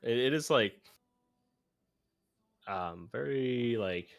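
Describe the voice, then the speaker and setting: A man co-hosting a livestream as a video editor, chatting casually in halting, filler-heavy phrases. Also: mid-range voice, lively intonation, vocal fry, no room sound, clean studio sound. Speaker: streamer and video editor, co-host.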